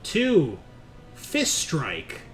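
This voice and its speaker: A man's voice: on the high side, wildly swooping pitch, drawn-out words, over music. A man running a horror tabletop roleplaying game as game master, switching between dramatic narration and casual rulings. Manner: swears casually. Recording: clear background noise.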